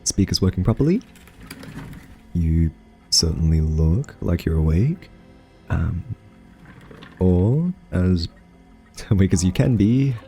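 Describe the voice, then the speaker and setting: A man playing a quirky scientist in an ASMR roleplay, soft-spoken and hesitant, gently patronizing as he coaxes a captive AI. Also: deep voice, lively intonation, faint music behind, heavily acted. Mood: hesitant, probing. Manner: hesitant, halting, politely condescending.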